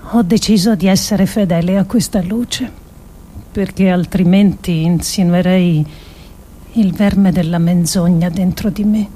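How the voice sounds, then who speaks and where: An actress playing an earnest, resolute woman politician who delivers a solemn theatrical speech with measured, emphatic phrasing. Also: on the low side, fairly even intonation, warm tone. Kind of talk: theatrical monologue, solemn oratory.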